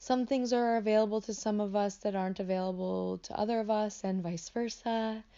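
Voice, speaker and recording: A woman teaching a guided meditation in an unscripted, gentle way, offering instructions as tentative invitations. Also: medium pitch, fairly even intonation, brisk, smooth, dull audio. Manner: gentle, hesitant, invitational phrasing.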